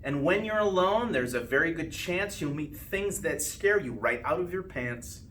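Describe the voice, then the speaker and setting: A man reading a rhyming children's storybook aloud with expressive, sing-song phrasing and drawn-out line endings. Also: a little high. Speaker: school principal reading a storybook. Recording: distant mic with faint background noise.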